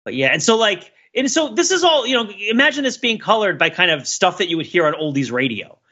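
A man with a fairly high, very bright and forceful voice talks casually as a podcast co-host. He analyses pop culture in an animated, emphatic way, wandering through digressions as he goes. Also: fast, breathy edge, dull audio.

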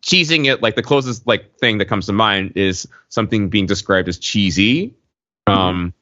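A man's voice: fairly low pitch, normal speed, sharp tone. A man, probably middle-aged, chatting casually as a podcast co-host, explaining things in a wordy, hesitant way with many asides. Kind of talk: casual podcast chat, explaining video game slang. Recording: muffled audio in an echoey room.

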